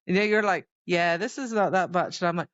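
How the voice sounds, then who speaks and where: Excitable woman with slightly dull, dry sound. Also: lowish voice, a little fast, no echo. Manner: excitable, exclamatory reactions. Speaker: podcast co-host. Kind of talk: casual book discussion.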